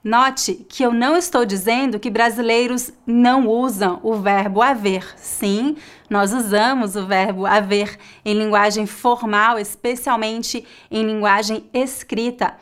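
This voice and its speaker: A woman with a Brazilian accent teaching a Portuguese lesson in a slow, clear, scripted way. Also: fairly high pitch, fairly bright tone. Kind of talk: scripted online language lesson.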